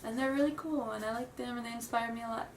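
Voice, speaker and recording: A woman's voice: a little high, steady tone, quick pace, a little hiss or hum. Young woman, stuffy from a cold, nervous giggly rambling, roomy far-off home camera sound. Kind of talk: casual vlog chat. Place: room at home.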